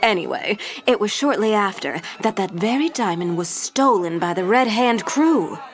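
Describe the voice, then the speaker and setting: A woman's voice: medium pitch, expressive, moderate pace, lightly acted. A woman playing a glamorous, self-assured, flirtatious socialite in an audio drama, telling stories in a playful, showy way. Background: music.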